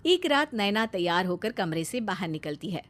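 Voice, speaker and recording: A woman narrating a scripted animated family story as the storyteller. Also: medium pitch, moderate pace.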